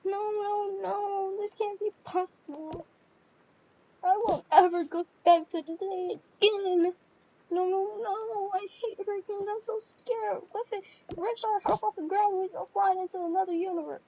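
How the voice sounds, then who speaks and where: A woman's voice: very high voice, expressive, normal speed, darkish tone, slight background noise, poor audio. A woman voicing a whiny, melodramatic teenage girl in an amateur family role-play, wailing and drawing out her words. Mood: panicked.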